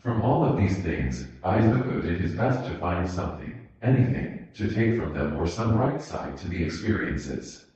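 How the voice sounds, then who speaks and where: A man's voice: sits low, big pitch swings, normal speed, darkish tone. A man reading fan fiction aloud as a narrator, in a scripted audiobook style. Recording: muffled, very echoey room recording.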